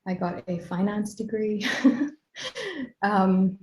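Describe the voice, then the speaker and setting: A woman's voice: mid-range voice, steady tone, takes it slowly, darkish tone, reverberant, a little hiss or hum. A woman from Kenya in her late thirties, a hypnotherapist chatting casually as an interview guest, laughing easily.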